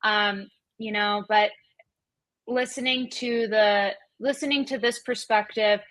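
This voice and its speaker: A woman's voice: mid-range voice, steady tone, takes it slowly, a bit bright, no room sound. A woman podcast host, a native Spanish speaker from Colombia, interviewing in a casual, appreciative and affirming way.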